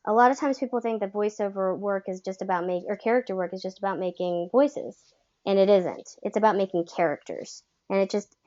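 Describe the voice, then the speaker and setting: Voice coach, female, chatty and encouraging, mid pitch, lo-fi webinar sound. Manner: friendly, chatty, many fillers. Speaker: voice acting coach. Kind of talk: live webinar Q&A. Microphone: webcam call.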